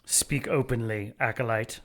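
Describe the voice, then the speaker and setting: A cold and ruthless church leader, played by a man, addresses a secret council. His delivery is measured and commanding, with menace under formal, dramatic radio-play speech. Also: medium pitch, steady tone, fairly bright tone, close to an everyday voice. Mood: cold authority. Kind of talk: audio drama acting.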